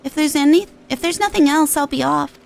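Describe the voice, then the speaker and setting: A woman's voice: sits high, brisk, creaky, a little hiss or hum. A woman voicing a worried, polite little girl in a fantasy game, speaking simply and a bit hesitantly. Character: worried, polite little girl who misses her papa.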